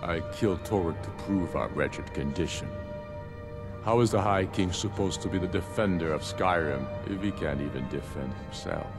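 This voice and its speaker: An actor playing a proud, grave, battle-hardened middle-aged rebel leader in a fantasy video game, speaking in a solemn, measured, oratorical way. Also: male voice, low-pitched. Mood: resolute, indignant. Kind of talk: scripted video game dialogue. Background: background music.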